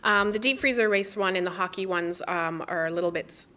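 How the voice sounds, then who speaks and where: A woman with a slightly low, very bright voice. She speaks as an organizer in a casual interview, informal and enthusiastic. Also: a little fast. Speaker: festival organizer, interview guest.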